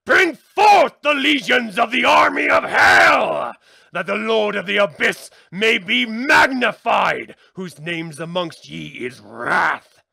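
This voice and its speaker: Guy reading out some solemn incantation, kinda high crackly voice, super dramatic, really dry close sound. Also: animated, slow, vocal fry, husky, no echo. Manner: theatrical, declaiming, swelling from subdued to shouted. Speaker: reader of a book aloud.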